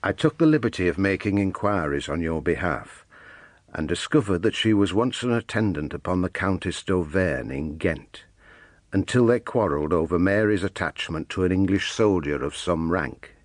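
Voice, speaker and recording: Deep-voiced, formal priest. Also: male voice, expressive, no room sound. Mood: measured, informative. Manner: formal, measured. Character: measured, formal priest reading out his letter. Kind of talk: audio drama acting.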